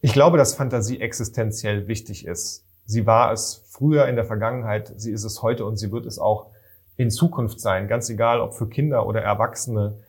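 Gravelly, lowish-voiced man answering reflectively. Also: steady tone, normal speed. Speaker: children's book author, interviewee.

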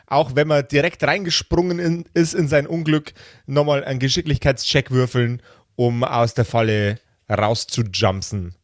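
A man co-hosting a role-play podcast, explaining things casually in a halting, informal way. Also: medium pitch, fairly even intonation, no room sound, studio quality. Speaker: podcast co-host explaining game rules. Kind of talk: casual chat.

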